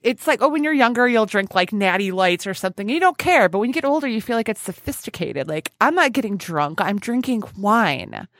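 A woman's voice: medium pitch, very animated, brisk, few pauses, fairly bright tone, no echo, clean studio sound. A woman hosting a podcast interview in a casual, playful, gushing way with informal banter. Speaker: podcast host.

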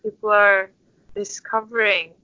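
A young woman with a Turkish accent gives reflective interview answers in a bright, forward voice. Her phrasing is thoughtful and halting. The recording is very dry and slightly dull, lo-fi. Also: medium pitch, little pitch movement, dry recording. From Istanbul, Turkey. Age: 20s. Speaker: interview guest, orchestral conductor.

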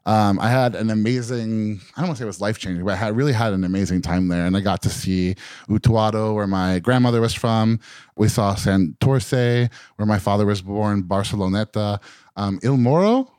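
Low-voiced man hosting a chat. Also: brisk, clear, resonant tone, no echo. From Westchester County, New York. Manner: relaxed, chatty, asks questions. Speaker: podcast host. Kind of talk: casual group conversation. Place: indoor room.

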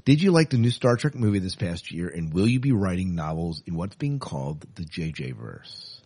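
A man hosting a casual podcast interview, speaking in a halting way and repeating phrases. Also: sits low, animated, a little mellow, husky, no echo, studio quality.

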